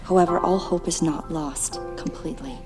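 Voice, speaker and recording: A woman playing a composed, formal hospital doctor in an audio drama, measured and earnest. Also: fairly low pitch, music in the background, close to an everyday voice. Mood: measured, persuasive. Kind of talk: dramatic audio play acting.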